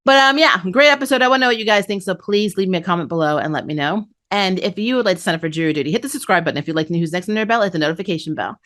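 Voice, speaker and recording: A woman's voice: lowish voice, very fast, hardly any pauses, a bit bright. A woman hosting a casual anime review, talking conversationally with chuckles between phrases. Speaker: host of an anime review channel. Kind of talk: casual episode review commentary.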